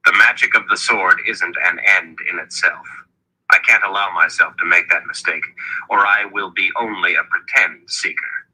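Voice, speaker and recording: Young fantasy audiobook hero male reader: earnest, determined, husky, sharp-edged delivery. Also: low voice, steady tone, moderate pace, full character voice, poor audio. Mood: calm, determined. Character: young male hero, earnest, hot-tempered but resolute.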